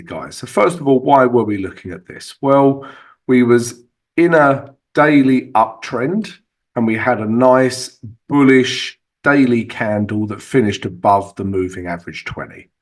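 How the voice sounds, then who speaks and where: Man, husky voice, chatty chart walkthrough, talks to viewers as guys, mid-pitched. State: husky from recovering illness. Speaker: forex trading channel host.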